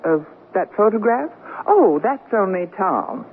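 Arch middle-aged wife, teasing, lowish voice that swings around, old tinny radio sound. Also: female voice, sing-song, a little slow. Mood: arch, breezy. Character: mature, witty, self-assured newlywed wife, teasing. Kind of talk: radio comedy drama acting. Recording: tinny, noisy old radio broadcast recording.

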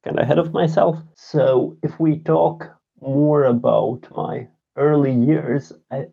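A man in his thirties from Latvia, a game designer talking about himself in a casual podcast interview, halting and self-deprecating. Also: mid-range voice, steady tone, vocal fry, breathy edge, muffled recording. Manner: halting, many filler sounds, self-deprecating. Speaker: game designer and programmer, guest.

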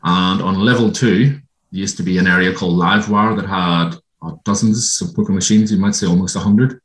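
An Irish man speaks as a guest in an interview, in a low voice. He talks in a reflective way and stops often to gather his thoughts. The sound is roomy and echoey. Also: steady tone. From Ireland. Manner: reflective, halting with ums. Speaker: guest, former gambling addict. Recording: roomy echo.

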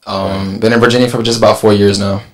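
A man from San Juan, Puerto Rico, a barber on a podcast panel, speaking in a measured way with pauses. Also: fairly low pitch, flat delivery. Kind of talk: casual panel chat.

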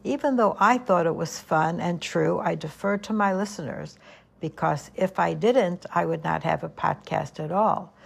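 An older woman hosts her own podcast, speaking straight to her listeners in a gentle, earnest, motherly tone. Her voice is soothing, lowish and gravelly, with a husky edge. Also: normal speed. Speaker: podcast host. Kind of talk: reflective monologue podcast.

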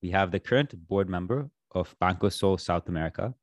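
A man's voice: low-pitched, a little fast, dry recording. A man hosting an interview, reading a polished formal introduction and asking his guest questions. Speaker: interview host.

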